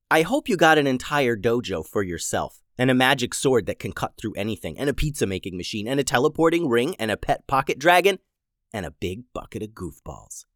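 Brief: scratchy-voiced male kids' storyteller, lively and singsong, dry close sound. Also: mid-range voice, no room sound. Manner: playful and silly. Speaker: podcast host and storyteller.

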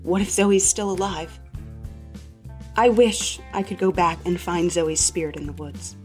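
A woman playing a haunted, grieving female survivor, telling a first-person horror story solemnly and deliberately. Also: on the low side, natural voice. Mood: grieving, resigned. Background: faint music.

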